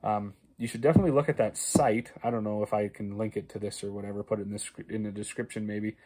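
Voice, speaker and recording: A man's voice: lowish voice, steady tone, fast talker, a little mellow, breathy edge, rough recording. Farmer talking to his phone camera. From Canada. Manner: conversational, many fillers and restarts, stresses prices. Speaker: farmer and video host. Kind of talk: casual talking-head explainer. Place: small wood-paneled room indoors. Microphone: phone camera at arm's length.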